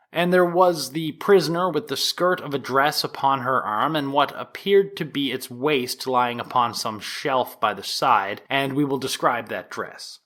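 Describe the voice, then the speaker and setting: A man playing a formal, deliberate 1890s prosecutor addressing a jury in a courtroom drama, in measured oratory with emphatic stresses. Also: medium pitch, pushed and bright. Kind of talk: dramatized courtroom opening statement.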